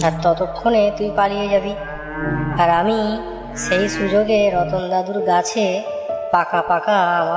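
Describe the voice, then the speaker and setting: A woman's voice: lowish voice, lightly acted. A woman voicing a mischievous, scheming twelve-year-old cowherd boy in a children's cartoon story. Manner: plotting, talking to himself and his cow. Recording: echoey reverb, music underneath.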